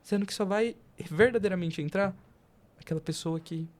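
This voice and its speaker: Mid-pitched, emphatic Brazilian podcast talker. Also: normal speed. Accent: Brazilian Portuguese. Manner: emphatic, rhetorical questions, slangy. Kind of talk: casual podcast conversation.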